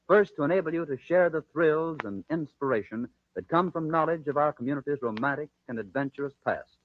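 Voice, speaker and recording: A man's voice: medium pitch, no room sound. An American man working as a 1940s radio announcer, reading scripted copy in a formal, polished manner. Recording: dull lo-fi 1940s radio recording.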